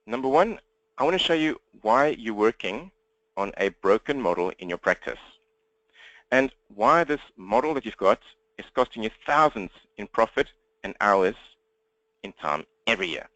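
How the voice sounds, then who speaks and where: South African male trainer, rapid direct patter, mid pitch, dry room. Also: lively intonation, fairly bright tone, no echo, low-quality recording. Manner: fast-talking, upbeat and direct. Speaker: webinar trainer. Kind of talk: live webinar presentation. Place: at home desk speaking to laptop. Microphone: laptop.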